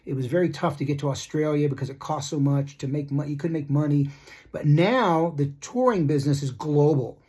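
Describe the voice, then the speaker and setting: Middle-aged male music commentator, husky voice, off-the-cuff, opinionated and meandering. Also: a little high, dark tone. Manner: rambling, repetitive, halting asides; blunt, critical. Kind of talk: casual opinion monologue.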